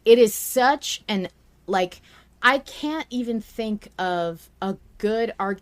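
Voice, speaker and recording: A woman hosting a podcast in casual debate, speaking in an emphatic, opinionated way with some swearing. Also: mid-range voice. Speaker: podcast host.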